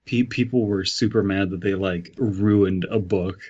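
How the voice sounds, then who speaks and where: A man co-hosting a podcast in casual, joking chat, halting at times with deadpan quips, on slightly lo-fi audio. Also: low voice, slightly rough. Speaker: podcast co-host.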